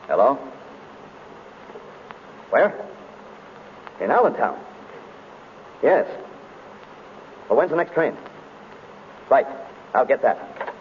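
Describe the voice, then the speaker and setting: An actor playing an earnest, worried gentleman with a polite, proper manner, in 1930s radio drama style. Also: medium pitch, big pitch swings, drawn-out words, pauses often. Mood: grave, urgent. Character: earnest, worried gentleman seeking a detective's help. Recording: noisy muffled vintage radio recording.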